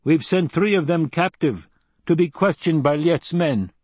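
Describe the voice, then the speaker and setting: A man's voice: a little high, measured pace, darkish tone, slightly rough, no room sound, voice pushed up. A man voicing a proud male desert warrior in an audiobook, blunt and matter-of-fact, eager about battle. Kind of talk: audiobook character dialogue.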